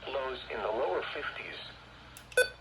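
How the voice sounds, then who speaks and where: A man's voice reading a formal weather bulletin over the radio in an impersonal, measured way. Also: medium pitch, lively intonation. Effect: radio broadcast. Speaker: automated weather radio announcer. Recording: noisy lo-fi radio speaker in echoey room.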